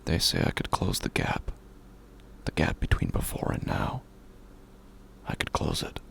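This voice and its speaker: An actor playing a grieving, haunted widower who is slowly unraveling, in a halting, brooding horror audio drama monologue with a gravelly, breathy voice. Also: male voice, a bit bright, close to an everyday voice. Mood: haunted, resolute. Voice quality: gravelly, breathy, often dropping to a whisper. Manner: halting, brooding, with long pauses.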